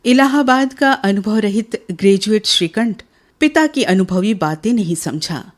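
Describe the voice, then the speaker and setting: A woman's voice: medium pitch, expressive, measured pace. A woman reading scripted literary narration for a Hindi radio drama.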